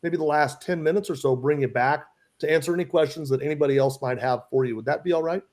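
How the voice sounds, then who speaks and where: A man's voice: on the high side, fast, a little mellow. A man co-hosting a live stream over a video call, friendly and chatty, often restarting sentences. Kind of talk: casual live-stream chat.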